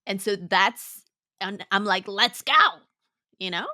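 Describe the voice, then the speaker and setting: Lady host, bright gravelly voice, dry close sound, lots of yes and mm-hmm. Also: mid-range voice, expressive, slow, no room sound. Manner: encouraging, frequent short agreements. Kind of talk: casual interview chat.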